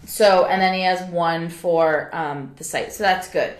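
A woman with a deep, low voice tells chatty, jokey stories in a relaxed conversation. The sound bounces around an echoey room. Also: a little slow. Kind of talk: casual chat.

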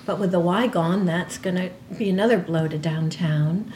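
A woman in casual local chat who is losing her voice, chatty and quick to agree. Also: lowish voice, darkish tone.

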